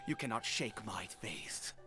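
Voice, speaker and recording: A man's voice: fairly low pitch, lively intonation, measured pace, music in the background. An actor playing a desperate, fervent father in a video game, theatrical and stammering, with breathless exclamations. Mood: indignant, zealous. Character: overjoyed, fervent, desperate father clinging to his son. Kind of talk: dramatic game voice acting.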